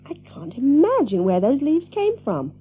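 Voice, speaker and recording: A woman's voice: sits high, wildly swooping pitch, unhurried, dull audio, soft background music, exaggerated voice. A woman playing a sweet, genteel, wondering mother in a children's audio drama. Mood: bewildered.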